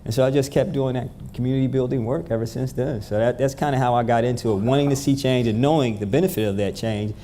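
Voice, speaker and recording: Relaxed Jersey-raised man telling stories. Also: mid-range voice, fast talker, hardly any pauses, slight background noise. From New Jersey. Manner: relaxed, storytelling, repeats words. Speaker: guest. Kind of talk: casual interview. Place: event room with a live audience.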